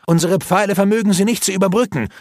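An anxious archer soldier, as a male reader puts him on rushes out his objection. The voice climbs higher as he speaks. It is a brief part in a fantasy storybook. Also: darkish tone, no room sound, clean studio sound. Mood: worried. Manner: hurried and worried.

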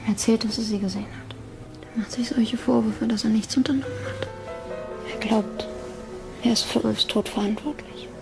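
A woman's voice: a little high, fairly even intonation, moderate pace, creaky, over music. A woman acting a grieving, guarded girlfriend in a crime radio play, giving short subdued answers. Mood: subdued, defensive. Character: grieving, guarded young girlfriend of a dead man.

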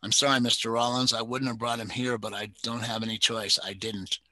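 A man's voice: medium pitch, flat delivery, a little fast, pushed and bright, dry recording. A man reading the part of a fretful young woman teacher who dotes on her little dog, in a pleading, fussing manner.

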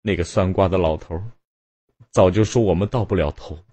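A man's voice: low-pitched, fairly quick, dark tone, husky, dull audio. A man voicing a tearful wife begging forgiveness. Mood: pleading. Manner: tearful, pleading. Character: distraught young wife begging her husband.

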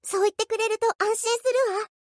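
Very high-pitched, proud anime idol girl. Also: little pitch movement, quick pace, a little mellow, dry recording, clean studio sound. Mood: tender, grateful. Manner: perky, boastful, theatrical, girlish. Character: cheerful, proud, self-confident young female idol. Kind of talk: anime game character voice lines.

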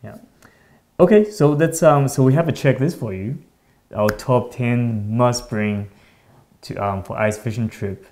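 A man in his thirties hosting a fishing show, explaining gear in a relaxed, hesitant way with many ums, often trailing off softly. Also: medium pitch, unhurried. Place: bright indoor studio at a table.

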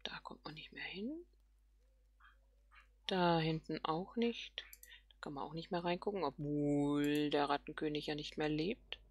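Slow, breathy, murmuring woman gamer. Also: low voice, no room sound. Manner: soft, half-whispered musing to herself. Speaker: let's player. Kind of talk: casual murmured game commentary.

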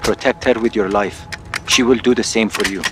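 A man's voice: fairly low pitch, steady tone, a little fast, background noise, close to an everyday voice. A man playing a fervent, fiercely loyal young rebel fighter in a video game, intense and earnest, with a Middle Eastern accent. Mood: earnest, proud. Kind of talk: dramatic video game acting. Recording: loud game music and effects behind.

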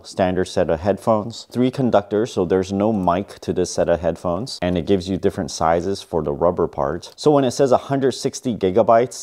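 A man's voice: deep voice, dark tone. A middle-aged man narrating a product review in a plain, matter-of-fact way, often listing features in clipped phrases. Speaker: tech reviewer.

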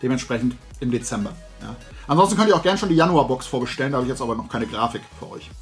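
A man's voice: mid-range voice, expressive, racing, soft background music. A middle-aged man hosting a show, chatting casually and colloquially to camera in a rambling way. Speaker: host of a toy and comics show. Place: indoor room lined with collectibles.

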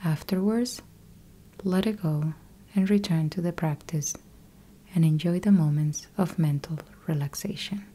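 A woman leads a guided meditation in a mellow, breathy voice with a husky edge. She speaks very slowly and gently, in calm, measured phrases with long pauses between them. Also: lowish voice. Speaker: yoga nidra meditation guide. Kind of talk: guided meditation, slow instructional.

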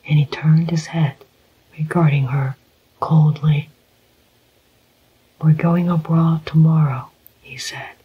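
A hushed storyteller reads a classic novel in an unvoiced whisper. The pace is slow and the delivery flat. The sound is slightly muffled. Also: medium pitch, slightly rough. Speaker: audiobook reader. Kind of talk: whispered ASMR story reading.

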